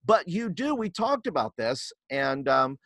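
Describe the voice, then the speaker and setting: A man's voice: a little high, sing-song, a little slow, no room sound. A man hosting an interview podcast, asking questions with many false starts and repeated words. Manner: stumbling restarts, warm questioning. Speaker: podcast host. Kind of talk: casual interview chat.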